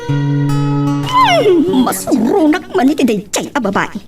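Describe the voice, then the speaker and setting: High-voiced scheming woman, Margot a woman acting. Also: huge pitch swings, slow pace, music in the background, exaggerated voice. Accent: Ilocano. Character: scheming, money-minded woman named Margot, needling. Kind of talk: amateur audio drama acting.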